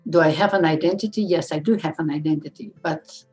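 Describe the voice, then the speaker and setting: A middle-aged woman from Uzbekistan answers interview questions, pausing thoughtfully between phrases. Her voice sits low and mellow. Soft guitar music plays faintly underneath. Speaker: librarian.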